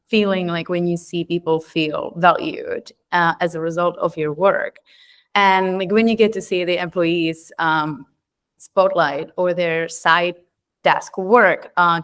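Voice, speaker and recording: A woman's voice: lowish voice, fairly bright tone, clear, resonant tone. A woman in her thirties with a Czech accent, a podcast guest chatting casually and filling her talk with "like" and "um". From Czech Republic. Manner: chatty, many fillers like "like" and "um". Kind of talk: casual interview chat.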